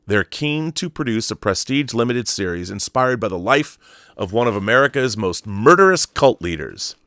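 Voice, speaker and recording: A man's voice: mid-range voice, very animated, normal speed, bright, forceful tone, dry recording, studio quality. A man hosting an online show, reading an article aloud in an emphatic storytelling way and adding explanatory asides.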